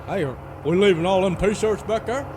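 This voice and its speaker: An actor playing a naive, bewildered, slow-witted small-town sheriff in an old-time radio parody. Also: male voice, sits high, darkish tone, a little hiss or hum, natural voice.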